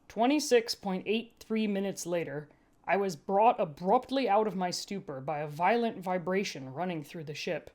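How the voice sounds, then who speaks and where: A woman's voice: on the low side, darkish tone. A woman narrating a first-person story as a podfic reader, with a dry, wry delivery.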